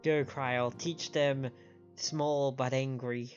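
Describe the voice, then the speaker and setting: A man's voice: mid-range voice, steady tone, takes it slowly, dull audio. A man streaming a game with casual, chatty, explanatory commentary. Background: game music.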